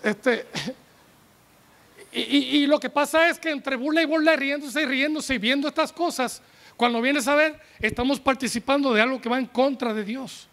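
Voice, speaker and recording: A man in his forties preaching a sermon from a pulpit, animated and joking as he calls on the congregation, speaking into a handheld microphone. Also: extremely high pitch, normal speed. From United States. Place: church tent stage with a pulpit.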